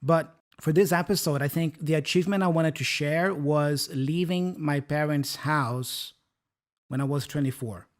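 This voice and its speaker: Brazilian guy in his 30s, english is his second language, slightly higher voice, friendly teacher vibe, keeps asking "yeah?". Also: measured pace. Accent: Brazilian Portuguese. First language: Portuguese. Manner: friendly, often checks in with "right?" and "yeah?". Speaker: English teacher and podcast co-host. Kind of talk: casual teaching chat.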